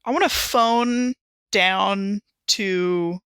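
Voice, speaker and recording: Bright, creaky-voiced woman playing a tabletop game. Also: medium pitch, dry recording, studio quality. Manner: playful, halting, thinks aloud. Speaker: player in an actual-play game show. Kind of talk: casual tabletop roleplay chat.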